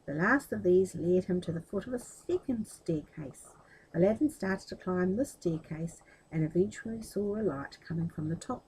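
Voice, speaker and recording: A woman reading a children's story aloud in an expressive, explanatory way. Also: fairly low pitch, normal speed, husky, low-quality recording.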